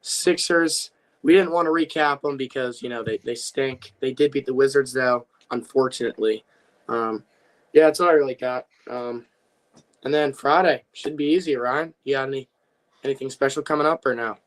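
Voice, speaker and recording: A young man co-hosting a casual sports podcast, his voice a little worn from playing a game, recapping with halting restarts. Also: medium pitch. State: slightly lost voice after a game. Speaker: podcast co-host.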